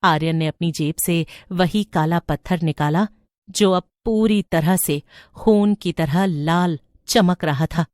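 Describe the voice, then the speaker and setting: Lowish-voiced woman narrating horror. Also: slow, a little mellow, no room sound, studio quality. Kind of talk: scripted horror story narration.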